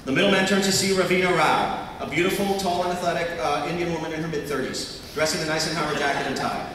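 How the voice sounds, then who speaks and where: A man's voice: fairly high pitch, quick pace, fairly bright tone, distant mic. A man narrating stage directions at a live table read of a TV script in a noisy, echoey convention hall.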